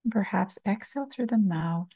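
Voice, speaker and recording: A woman meditation teacher guiding a body scan, speaking calmly and soothingly in short phrases with long pauses. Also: mid-range voice, husky, no room sound. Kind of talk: slow guided meditation, body scan narration.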